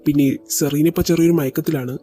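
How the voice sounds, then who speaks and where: A woman voicing a calm male doctor who gently reassures a worried family in an audio drama. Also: sits low, faint music behind, lightly acted. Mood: reassuring. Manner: soft, measured reassurance. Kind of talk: amateur audio drama voice acting.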